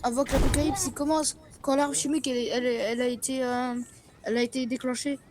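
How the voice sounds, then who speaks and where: A performer with a halting, repetitive delivery improvising a jittery, watchful survivor in a zombie game roleplay. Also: high-pitched, flat delivery, close to an everyday voice. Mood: explaining. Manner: halting, repeats words.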